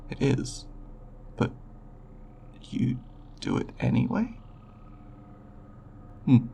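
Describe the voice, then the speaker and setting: Man voicing nervous scholarly alien researcher, ASMR roleplay, very gravelly fry, hesitant formal speech, polite curiosity, faint background noise. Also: a little high, a little mellow. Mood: incredulous, curious. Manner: hesitant, halting, formal scientific wording. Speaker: roleplay voice performer.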